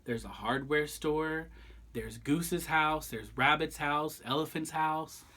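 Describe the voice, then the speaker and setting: A man's voice: fairly high pitch, slow, bright, forceful tone. A man reading a picture book aloud expressively and theatrically, with chatty asides straight to the listeners. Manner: theatrical, addresses listeners directly. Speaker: storyteller.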